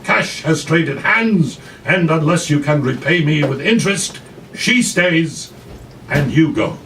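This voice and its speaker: A man's voice: on the high side, animated, slow, a bit bright, pitched above the performer's own voice, exaggerated voice. A man voicing a shrewd, amiable, stout female brothel madam in a dramatic reading, haggling theatrically and repeating phrases for emphasis. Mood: indignant, grandiose. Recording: noisy distant live café recording.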